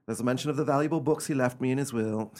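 A man playing an imperious, coolly cruel, excitable gentleman heir in an old-fashioned radio play, speaking in a theatrical, commanding, formal way. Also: mid-range voice, racing, lightly acted. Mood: smug, composed.

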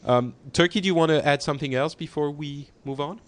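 A man's voice: on the high side, quick pace. A man hosting a casual group podcast, hesitant and prone to restarts and laughter. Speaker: podcast host.

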